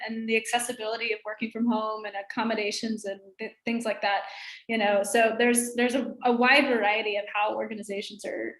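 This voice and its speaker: A woman's voice: fairly high pitch, a bit bright. A woman moderating a panel over a video call, upbeat and affirming. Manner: upbeat, affirming, light laughs. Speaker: webinar moderator. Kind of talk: panel discussion. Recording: echoey room on a video call.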